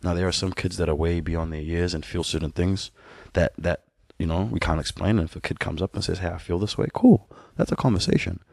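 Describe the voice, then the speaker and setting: Low gravelly man, relaxed and candid. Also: brisk, few pauses, no room sound, studio quality. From born in Sudan, raised in New Zealand. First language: an Ethiopian language. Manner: relaxed, candid, laughing often. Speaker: musician guest. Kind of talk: casual podcast conversation.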